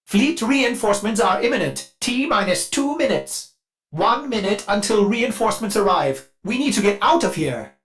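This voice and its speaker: A man voicing an anxious, servile male ship computer in a video game, formal and halting, with synthetic processing. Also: sits high, sing-song, a bit bright. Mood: urgent, alarmed. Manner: formal and obsequious, with halting stammers. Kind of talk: scripted video game character acting.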